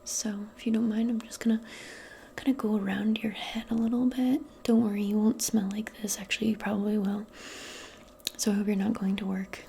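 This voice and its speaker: A woman speaking softly and breathily, often near a whisper, in a gentle, casual ASMR role play aimed closely at the listener. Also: mid-range voice, little pitch movement, a little fast, creaky, a little hiss or hum. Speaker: ASMR performer role-playing as a modern witch. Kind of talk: soft-spoken ASMR role play, personal attention.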